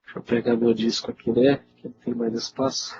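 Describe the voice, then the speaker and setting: Casting: Brazilian male IT instructor, congested, casual Q&A delivery, medium pitch, muffled noisy webinar audio. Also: little pitch movement, fairly quick. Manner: informal, conversational, frequently checks in with listeners. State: congested with a cold. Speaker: IT instructor answering webinar questions. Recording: dull lo-fi webinar audio, loud noise.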